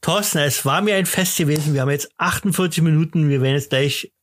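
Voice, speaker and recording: A man of fifty-three with a slightly high-pitched voice hosts a podcast. He rambles along casually in German conversation, jokey and self-deprecating. He is from eastern Germany. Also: fast. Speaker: podcast host.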